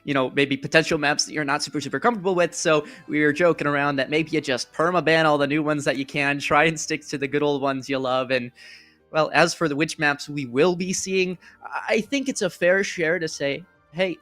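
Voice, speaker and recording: A man's voice: a little high, fairly even intonation, brisk, a bit bright, soft background music. A man hosting an esports broadcast in casual, rambling live desk chat, jokey, self-deprecating and enthusiastic. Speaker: esports broadcast host and caster.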